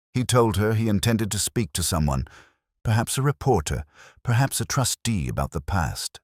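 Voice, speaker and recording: A man narrating a literary detective story in the first person, in a solemn, measured and brooding manner. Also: extremely low pitch, no echo, studio quality.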